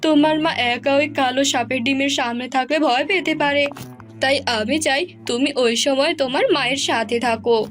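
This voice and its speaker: A woman's voice: high-pitched, steady tone, fairly quick, fairly bright tone, soft background music. A woman voicing a commanding, vengeful father king in a cartoon, with formal authority.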